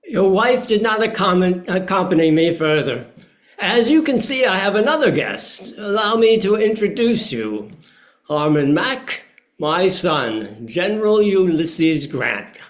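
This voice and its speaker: A man's voice: sits high, very animated, measured pace. A man playing a wheedling old father in a historical play reading, talking like an ingratiating salesman. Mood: genial, formal. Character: wheedling old father pushing a business deal. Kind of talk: amateur play table reading.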